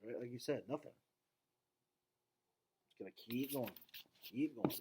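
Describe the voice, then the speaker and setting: A man's voice: medium pitch, unhurried, noisy background. A man reading a children's book aloud, adding casual asides and checking in with listeners through questions. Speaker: read-aloud reader commenting to listeners.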